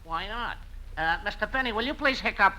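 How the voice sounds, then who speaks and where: A man's voice: high voice, lively intonation, a little fast, pushed and bright, heavily acted. A man playing an offbeat, casual doctor's associate in 1950s radio comedy, hesitant and prone to "uh" and "yeah". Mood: casual, bossy. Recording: faintly noisy 1950s radio recording.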